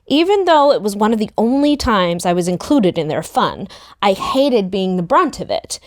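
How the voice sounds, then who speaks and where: A woman narrating a first-person story in an expressive, youthful storytelling manner. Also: medium pitch, normal speed. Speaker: narrator in a book table read.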